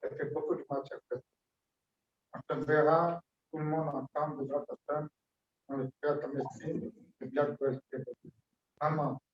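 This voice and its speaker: A man giving a funeral eulogy, speaking in fragmented, hesitant phrases that he sometimes draws out slowly. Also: a little high, level tone. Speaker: family member giving a eulogy. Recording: echoey hall, distant dull mic.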